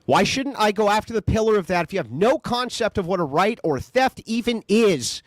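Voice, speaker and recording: High, animated, mocking male debater. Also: brisk, no room sound. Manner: combative, mocking, sarcastic. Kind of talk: heated debate. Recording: clip from a recorded debate.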